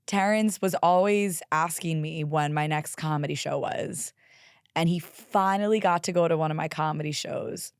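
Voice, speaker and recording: Young woman podcast host, hoarse and raspy, candid and profane, lower voice, dry close sound. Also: moderate pace, dry recording. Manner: candid, profane, animated with laughs. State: hoarse from karaoke singing. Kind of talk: solo podcast monologue.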